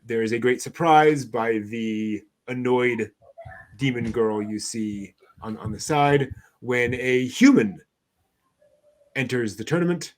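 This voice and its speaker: Casual male news-show host, mid-range voice, conversational and slightly halting. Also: medium pitch.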